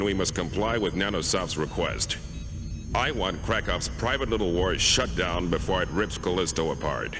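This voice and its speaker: A man playing a stern, authoritative police squadron commander in a video game, delivering a formal briefing in a deliberate, commanding way. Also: deep voice. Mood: grave, stern. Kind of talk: formal military briefing, game cutscene acting. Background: music.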